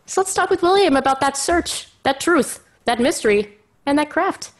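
A woman's voice: sits high, animated, normal speed. A woman hosting a podcast, speaking with effusive emphasis and dramatic phrasing. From Canada. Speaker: podcast host. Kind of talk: scripted podcast introduction and interview.

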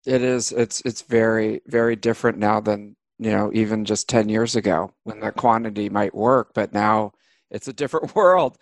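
A man's voice: fairly low pitch, normal speed, no echo. A man hosting an interview podcast, easygoing and joking, stumbling a bit as he asks questions. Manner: easygoing, joking, stumbles and restarts while asking. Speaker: podcast host. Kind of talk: casual interview chat.

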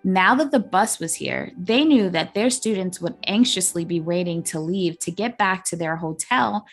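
A woman's voice: on the low side, normal speed, husky, faint music behind. A woman reading a story aloud as an amateur narrator.

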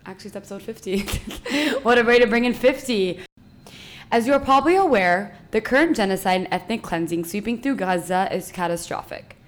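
Woman, podcast host, earnest and measured, middle pitch. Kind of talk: scripted podcast intro, then interview.

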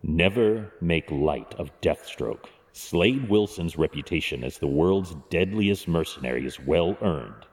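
A man playing a stern, brooding masked vigilante in an audio drama, speaking gravely in clipped, commanding phrases with a gravelly voice. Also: low voice, lively intonation, measured pace, hardly any pauses. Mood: grave, concerned. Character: brooding, stern adult male masked vigilante and wealthy guardian. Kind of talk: fan audio drama acting. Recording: long hall-like reverb.

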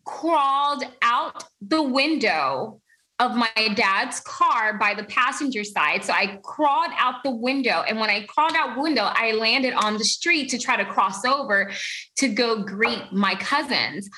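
A woman from Puerto Rico telling her personal story as an interview guest, earnest and animated, laughing now and then. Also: on the high side, a little slow, rough recording.

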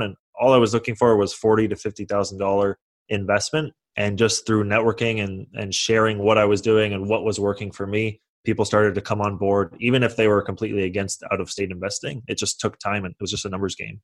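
A young man from California answering interview questions confidently, explaining how he got started and acting out the conversations he had. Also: on the low side. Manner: confident, explanatory, acts out quoted dialogue. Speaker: guest real estate investor.

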